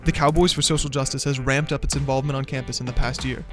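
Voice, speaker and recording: Guy reading a news piece fast, mid pitch, faint music behind. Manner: brisk, even news-reading delivery. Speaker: student news reporter. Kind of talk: scripted news narration.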